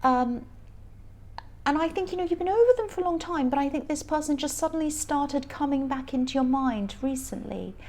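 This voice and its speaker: Woman reading tarot cards, a bit croaky and higher pitched, rambly and laughs a lot. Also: expressive, normal speed, a little mellow. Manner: chatty, rambling, laughs often. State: croaky voice from stress. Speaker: tarot reader. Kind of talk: casual tarot reading to camera.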